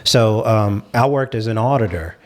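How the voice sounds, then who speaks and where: A man's voice: on the low side, normal speed, darkish tone, a little hiss or hum. A man speaking as a panelist in a casual discussion, explaining his views in a frank way.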